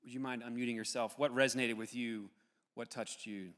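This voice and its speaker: A man's voice: medium pitch, steady tone, brisk, fairly bright tone. Male discussion host in an echoey hall. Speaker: host and moderator of audience discussion. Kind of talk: facilitating a live audience discussion. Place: large hall, live event with video call. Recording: echoey hall, long reverb.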